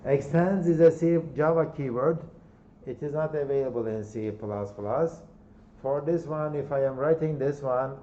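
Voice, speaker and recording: A man with a Turkish accent lectures a university class in a mellow, relaxed voice, often repeating words and checking in with "okay?". The recording is roomy and slightly dull, with a faint hum of room ambience behind him. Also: medium pitch. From Turkey.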